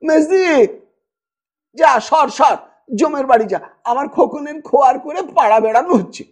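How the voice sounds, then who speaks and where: A man's voice: very high voice, normal speed, a little mellow, voice pushed up. A man voicing a harried young village mother who scolds and coos at a small boy. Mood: coaxing.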